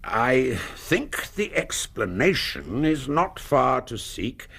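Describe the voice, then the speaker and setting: An actor playing a proud, guilt-ridden older duke in a radio drama, gravelly and husky, speaking in halting, formal phrases. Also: male voice, medium pitch, very animated, a bit bright, full character voice. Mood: grave, resigned. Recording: radio drama studio recording.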